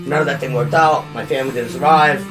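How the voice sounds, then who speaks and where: A man's voice: mid-range voice, fairly even intonation, quick pace. A young man from Pakistan who talks in a rambling, heartfelt way, full of "dude" and "like", chatting with friends on a podcast. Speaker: podcast guest. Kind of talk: casual chat. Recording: far from the mic, with guitar music.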